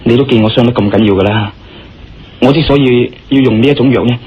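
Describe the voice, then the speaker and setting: A man with a Hong Kong Cantonese accent playing a confident, persuasive injured adventurer in a radio drama, smooth-talking and assertive. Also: mid-range voice, fast, a little hiss or hum, close to an everyday voice. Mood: coaxing, earnest. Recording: dull lo-fi radio drama recording. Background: music.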